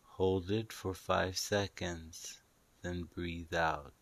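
A man's voice: deep voice, steady tone, very slow, creaky. A man narrating a guided meditation in a soothing, instructive, hypnotic manner, repeating short phrases.

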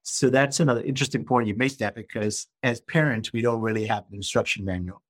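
A man's voice: mid-range voice, quick pace, no echo, studio quality. A man in his fifties, from Malaysia, explaining earnestly as a guest in a podcast interview. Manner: earnest, explanatory, uses rhetorical questions. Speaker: guest author and coach.

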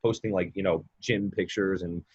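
Man, late twenties, very low voice, husky, casual rambling talk, repeats himself. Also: fairly even intonation, normal speed, highs cut off. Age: 20s. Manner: rambling, repeats words, many fillers. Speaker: guest, mindset coach. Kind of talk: casual podcast conversation.

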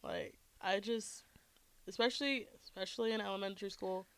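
A woman guest in a casual chat, outgoing and quick to laugh. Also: medium pitch, steady tone, fairly bright tone, clean tone.

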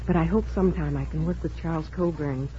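A woman's voice: sits low, fast, slight background noise. A woman answers in a scripted 1940s radio interview style as a guest actress. The sound is muffled and old.

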